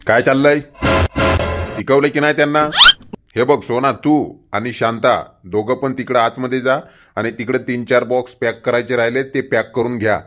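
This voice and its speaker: A man voicing a brisk male boss who gives orders in colloquial speech, in an amateur comedy cartoon. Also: mid-range voice, fairly even intonation, natural voice. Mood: commanding. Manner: directive, colloquial. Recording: clear guitar music behind voice.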